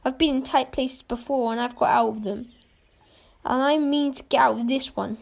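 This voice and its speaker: A woman's voice: sits high, steady tone, moderate pace, gravelly. A woman playing a cynical, cool male adventurer in an amateur radio play, with dry, mocking retorts. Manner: dry, sardonic, clipped retorts.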